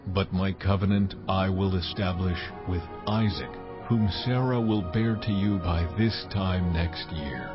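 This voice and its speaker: A very low, majestic God declaims in a dramatized scripture recording. The delivery is stately and solemn. The sound is a little dull, with clear music behind it. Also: male voice, measured pace, few pauses. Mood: solemn, promising. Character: almighty God, solemn, commanding, majestic male deity.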